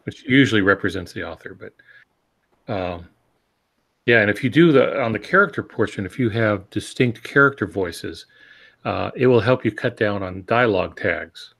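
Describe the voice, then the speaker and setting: An older man, speaking as a panelist in a casual discussion, measured and explanatory. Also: on the low side, animated, creaky, fairly bright tone. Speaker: podcast panelist and novelist.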